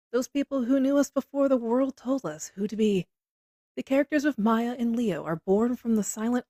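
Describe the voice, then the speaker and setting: Woman reading a book aloud, measured and reflective, super dry close sound. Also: medium pitch, mellow, dry recording. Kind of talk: scripted literary narration.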